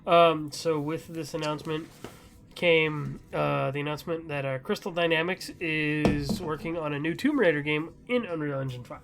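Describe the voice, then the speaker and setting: Higher-pitched man with a creaky voice. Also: normal speed. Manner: rambling, loosely conversational. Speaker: podcast host. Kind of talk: casual chat about gaming news.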